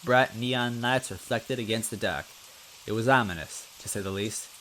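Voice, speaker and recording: A man giving an expressive, conversational first-person narration as he reads a story aloud. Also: on the low side, moderate pace, slight background noise, rough recording. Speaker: story reader.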